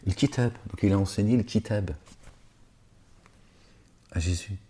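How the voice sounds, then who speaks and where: Brief: man, low dark voice, reads scripture and digresses, sarcastic, hesitant. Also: a little slow, slightly rough. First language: not Arabic. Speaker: religious lecturer reading scripture. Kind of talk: scripture reading with spoken commentary.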